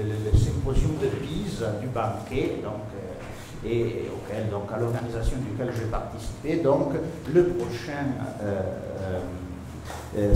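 A man's voice: mid-range voice, noisy background. Italian-native man, thirties, hesitant formal intro, table mic, very echoey seminar room. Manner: halting, many hesitations. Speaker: session chair. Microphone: table gooseneck mic.

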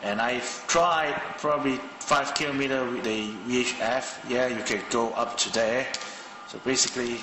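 A man with a very bright, sharp voice rambles through a hands-on gadget demo, pausing on fillers as he thinks aloud. He sounds like he's recording on a camera mic in a room with a long, roomy reverb, and faint music sits behind him. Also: mid-range voice, steady tone. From Malaysia. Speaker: hobbyist radio reviewer. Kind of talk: casual hands-on product demo. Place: indoor room at a desk.

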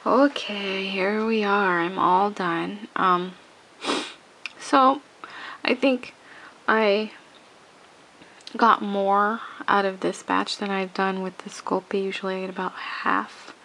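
A woman's voice: mid-range voice, steady tone, takes it slowly, vocal fry, pushed and bright, rough recording. A woman with a cold talks through a hands-on craft tutorial at home, sniffling and rambling with many restarts. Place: home craft table, indoors.

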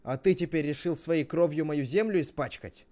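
A man voicing a domineering, hot-tempered grown brother in an animated parable, bossy and mocking. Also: medium pitch, normal speed, pitched above the performer's own voice, close to an everyday voice. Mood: furious shouting.

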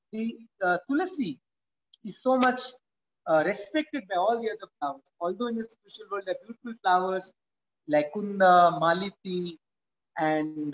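A man, reading verses aloud and then explaining them with animated emphasis in a scripture class held over a video call. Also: high-pitched, slight background noise. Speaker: class speaker. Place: indoor room at home. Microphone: video call, laptop or phone camera. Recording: dull lo-fi video call audio.

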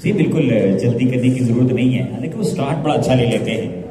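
A man's voice: medium pitch, animated, fast, warm tone. A man giving live analysis as a cricket co-commentator. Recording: echoey, reverberant hall sound.

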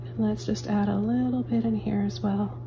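Gentle woman teaching a painting tutorial. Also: medium pitch, normal speed, noisy background. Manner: calm, gentle step-by-step instructions. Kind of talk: real-time painting tutorial. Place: indoor studio at an easel.

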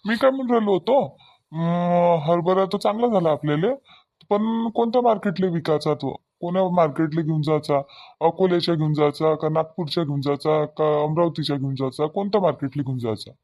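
A practical cartoon farmer, played by a man, talks in a high-pitched male voice. His Varhadi Marathi accent is rustic, and his manner is folksy and conversational, often repeating himself. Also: fairly quick, a little mellow, lightly acted. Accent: Varhadi (Vidarbha) Marathi. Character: friendly village farmer, practical family man. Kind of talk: cartoon village dialogue.

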